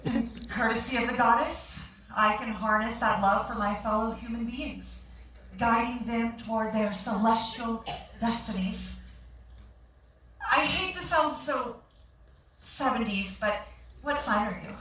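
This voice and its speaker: A woman's voice: on the high side, unhurried, a bit bright. A woman acting a dreamy, effusive new-age astrologer in a staged comedy, with a gushing, mystical manner. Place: theatre stage, recorded from the audience. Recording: echoey theatre, far mic, noisy.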